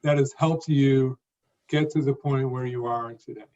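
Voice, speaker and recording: A man's voice: medium pitch, fairly even intonation, measured pace, warm tone. A man hosting an interview, who talks in an encouraging way and gives short agreeing replies. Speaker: interview host. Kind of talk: casual interview talk. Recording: dull, muffled audio.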